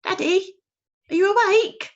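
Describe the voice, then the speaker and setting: A woman voicing a small, eager, excitable baby barn owl boy in a high, squeaky, childish voice. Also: unhurried, muffled recording, exaggerated voice.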